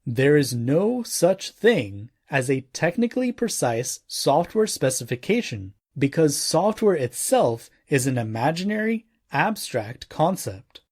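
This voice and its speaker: A man reading a scripted non-fiction audiobook in a formal, measured way with deliberate phrasing. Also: fairly high pitch, sing-song, a little mellow, slightly rough. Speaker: audiobook narrator.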